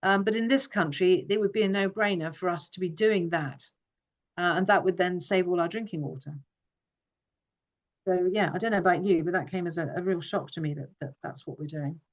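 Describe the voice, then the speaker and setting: A woman's voice: lowish voice, fairly quick, highs cut off. A woman who grew up in Hong Kong, giving an earnest forum talk with frequent fillers and restarts. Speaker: forum speaker. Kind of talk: informal presentation.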